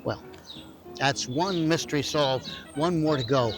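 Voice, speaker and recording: A man hosting an audio drama, reading scripted narration emphatically with deliberate dramatic pauses. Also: a little high, over music. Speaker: host introducing an audio drama.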